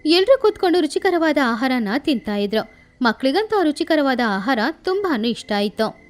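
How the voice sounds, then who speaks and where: A woman's voice: high-pitched, sing-song, moderate pace. A woman narrating a scripted moral story for children as the storyteller. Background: background music.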